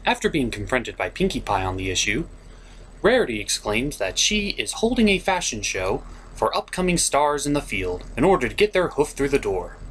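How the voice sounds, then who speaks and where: A male review show host with a lively, swinging pitch. He narrates in a sarcastic, theatrical comedy style, with faint noise behind him. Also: moderate pace, low-quality recording. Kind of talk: comedic review, part scripted, part ad-libbed.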